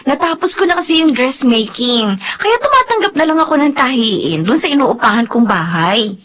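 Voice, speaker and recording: Sensible pious seamstress, Filipino accent, high voice, expressive, earnest, radio drama, faint background noise. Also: female voice, normal speed. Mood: earnest, content. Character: Aida, modest, sensible, pious seamstress friend.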